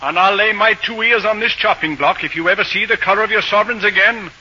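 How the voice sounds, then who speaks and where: Casting: sardonic butcher patriarch, forceful bright delivery, dull hissy vintage radio sound. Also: male voice, sits high, quick pace, husky, heavily acted. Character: stern, sceptical village butcher and father. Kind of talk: 1940s radio acting. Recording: dull old radio recording with hiss.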